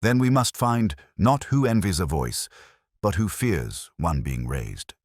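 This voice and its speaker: A man acts out a shrewd police inspector in a mystery audiobook. The voice is extremely low and husky, with formal, deliberate phrasing and lively rises and falls. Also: no echo, studio quality, exaggerated voice. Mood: probing.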